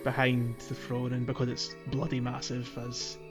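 A man's voice: medium pitch, monotone, normal speed. Casual male podcast host over music. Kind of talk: casual podcast playthrough recap of a video game.

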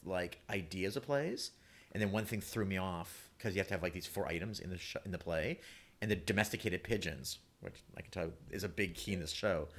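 A man's voice: low-pitched, a bit bright. A man speaking as an interview guest, telling anecdotes casually in a rambling, self-interrupting way.

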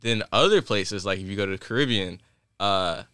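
A man rambling casually between friends on a podcast, stringing thoughts together with plenty of fillers. His voice sits a little low but rings bright and forward. The recording is close and dry. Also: moderate pace, clear, resonant tone, no echo. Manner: loose and rambling, many "like" fillers. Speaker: podcast co-host. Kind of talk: casual chat.

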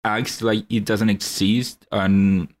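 A man's voice: on the low side, little pitch movement, slow pace. A young man co-hosting a podcast in casual chat, earnestly explaining a film's story at length. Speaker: podcast co-host.

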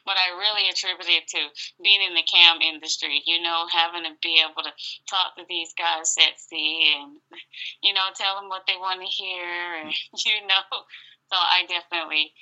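Raspy woman on a muffled phone line. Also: fairly low pitch, fairly even intonation, moderate pace, sharp tone. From Atlanta, Georgia, US. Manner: laid-back, laughs often, many "you know"s. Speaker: singer and model guest. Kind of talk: casual phone interview. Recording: dull lo-fi phone audio.

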